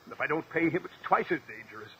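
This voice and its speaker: An actor playing an anxious crooked American politician in a mid-century radio drama, with a tense, clipped delivery. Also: male voice, on the high side, expressive, racing, muffled recording, lightly acted. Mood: tense, grim. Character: anxious crooked male politician in debt to gamblers. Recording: noisy old radio drama recording.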